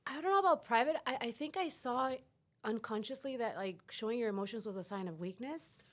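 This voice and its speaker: A woman's voice: on the high side, lively intonation, fairly quick. Chilean-born woman, middle-aged, podcast guest; open, thoughtful, casual delivery. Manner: reflective, open, with frequent restarts. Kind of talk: casual interview talk.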